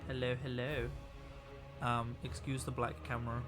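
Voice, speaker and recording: A man's voice: medium pitch, level tone, moderate pace, over music. A young man live-streaming miniature painting, chatting in a rambling way with a voice worn out from a week of talking. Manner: rambling, chatty, restarts sentences. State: tired. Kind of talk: casual live-stream chat.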